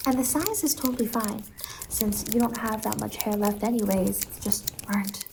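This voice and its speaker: A woman playing a sweet, bubbly stylist from a dream land in an ASMR roleplay, speaking softly and often slipping into whispers. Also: mid-range voice, moderate pace, vocal fry, noisy background, natural voice. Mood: gentle, reassuring. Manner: gentle, doting, chatty, often dropping into whispers. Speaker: ASMR performer.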